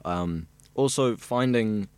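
A man's voice: lowish voice, slow pace, a little mellow, smooth, no echo. A young man from South Africa, a radio student chatting easily and at length in an interview. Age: young adult. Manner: chatty, rambling and easygoing. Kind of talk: casual interview chat.

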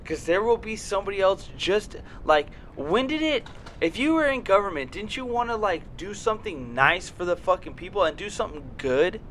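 A man with a bright, forceful voice talks loosely on a podcast, circling back on himself with "dude" and "you know". He swears freely. A faint hiss and rumble sit under the recording. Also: lively intonation, moderate pace. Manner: rambling, profane, repeats filler words. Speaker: podcast host. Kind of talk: casual podcast chat.

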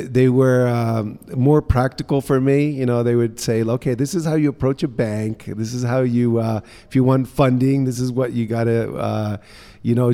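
A man's voice: medium pitch, mellow. A middle-aged man, telling personal stories informally as an interview guest, recorded in an indoor space. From Guatemala, England and mostly New Orleans, USA. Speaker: interview guest, social entrepreneur. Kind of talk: conversational personal storytelling. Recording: reverberant room with long echo.

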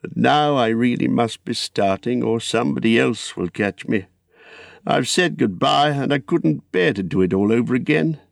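A man's voice: mid-range voice, expressive, normal speed, gravelly, no echo, voice pushed up. A man voicing a fussy, wistful, elderly hobbit in a storybook, with polite, rambling, exclamatory speech. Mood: rueful. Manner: polite, rambling, exclamatory old-fashioned English.

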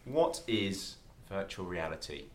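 Low-voiced man hosting a podcast. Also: animated, a little slow, a little hiss or hum. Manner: joking, teasing, laughs easily. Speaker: podcast host. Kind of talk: casual podcast chat.

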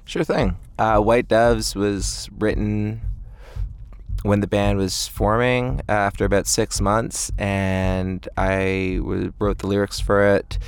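Male band frontman, casual interview, deep gravelly-edged voice, easygoing and measured. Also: level tone, dry recording. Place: inside a parked tour van.

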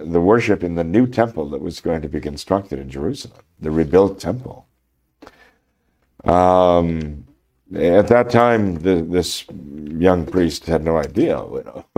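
An older priest talks in a gravelly, very low voice, roughened by a mild head cold. He speaks slowly and haltingly, filling gaps with ums and uhs. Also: darkish tone. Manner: slow, halting, many fillers. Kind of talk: unscripted radio talk.

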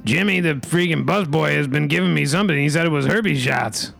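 A man's voice: on the high side, fairly quick, creaky, a bit bright, soft background music. A man hosting an improvised comedy podcast, rambling in a mock-pompous way with folksy catchphrases.

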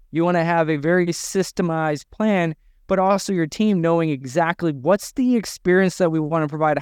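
A man's voice: a little high, smooth, no room sound, clean studio sound. A man co-hosting a podcast, talking about running a business in an emphatic, conversational way. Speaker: podcast co-host. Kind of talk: conversational business coaching talk.

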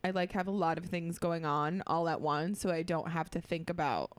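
A woman guest on a casual comedy podcast, soft-spoken and giggly, telling stories about herself. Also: on the low side, fairly even intonation, moderate pace, no echo.

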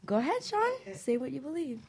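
A woman's voice: sits high, very animated, a little mellow. A woman hosting a casual panel discussion, with a playful, easygoing manner. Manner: playful, quick to laugh. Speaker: talk show host.

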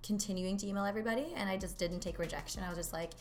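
A woman's voice: medium pitch, very fast, soft background music. A woman in her twenties from Norway, chatting casually as an interview guest, with lots of fillers. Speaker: guest, local singer.

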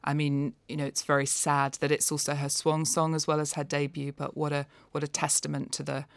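A woman's voice: low-pitched, monotone, brisk, vocal fry, no echo. A woman giving a conversational, articulate and discursive review of books as an expert guest. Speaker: non-fiction books expert, podcast guest.

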